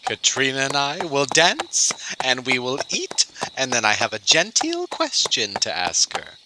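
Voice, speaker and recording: A man's voice: mid-range voice, huge pitch swings, unhurried, noisy background. A man playing a nervous, boastful, lovesick schoolmaster with a fussy, hesitant manner in an old-time radio drama style. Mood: giddy, boastful. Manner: stammering, fussy, genteel, hesitant. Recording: music underneath.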